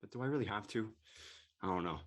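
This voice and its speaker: Low-voiced teenage guy, casual and tentative. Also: fast, muffled recording. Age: teens, high school student. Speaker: young actor in the cast. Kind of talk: casual chat.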